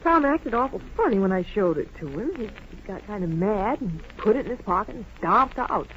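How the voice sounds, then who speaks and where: A woman's voice: mid-range voice, expressive, moderate pace, lightly acted. An actress playing an anxious, bereaved townswoman in an old radio Western, hesitant but earnest and helpful. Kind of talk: 1950s radio Western acting. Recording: muffled, noisy old-time radio recording.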